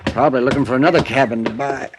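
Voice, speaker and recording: A man's voice: mid-range voice, very fast, noisy background, close to an everyday voice. An actor playing a glum male cabin owner who talks plainly and sounds resigned, in 1950s radio drama style.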